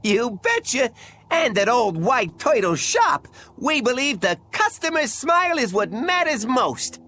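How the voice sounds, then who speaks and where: Cheery lil male critter merchant for a game, high voice, swoops all over, folksy salesman. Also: very animated, unhurried, fairly bright tone, slight background noise. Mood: chipper, eager. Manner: chirpy, folksy salesman patter. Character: chipper small male turtle-costumed merchant creature, polite haggler. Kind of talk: video game cutscene acting.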